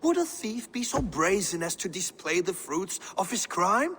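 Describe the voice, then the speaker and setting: A pompous, indignant artist settler. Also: male voice, sits high, big pitch swings, normal speed, a bit bright, husky, exaggerated voice. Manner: pompous, self-righteous, theatrical. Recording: long hall reverb, faint noise.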